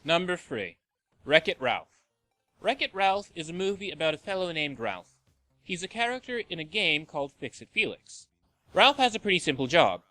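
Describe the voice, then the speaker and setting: Male list-show narrator: tongue-in-cheek, forceful bright tone, very animated delivery. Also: on the high side, moderate pace, dry recording, soft background music. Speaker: host of a video game and movie show.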